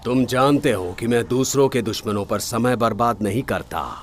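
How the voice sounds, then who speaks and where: An actor playing an imperious supernatural villain in a cartoon dub, speaking in a measured, commanding, menacing way. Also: male voice, mid-range voice, a little hiss or hum, natural voice. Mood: cold, menacing. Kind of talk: Hindi cartoon dub acting.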